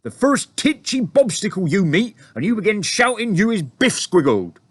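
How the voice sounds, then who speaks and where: A man voicing a huge, gentle male giant who talks in muddled words and broken grammar. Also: high-pitched, big pitch swings, moderate pace, slightly rough, no room sound, pitched above the performer's own voice, full character voice.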